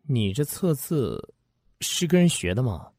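An actor playing an earnest, curious office worker who is easily incredulous, in an audio drama. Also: male voice, mid-range voice, very animated, measured pace, darkish tone, no room sound, studio quality. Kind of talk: Chinese audio drama acting with first-person narration.

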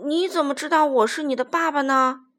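A woman voicing a big, gruff but soft-hearted male tyrannosaurus in a storybook, stammering and blustering as a reluctant father. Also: high voice, fairly even intonation, a little fast. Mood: flustered.

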